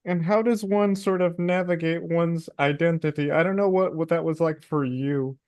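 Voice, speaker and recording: A woman hosts an interview, asking gentle, open questions. Her voice is mellow and dark. It is recorded close and dry, with no room sound. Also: on the low side, steady tone, normal speed, no echo. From Colombian and Hungarian family. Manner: gentle questions, warm "mm-hmm" backchannels. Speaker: podcast host. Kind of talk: interview conversation.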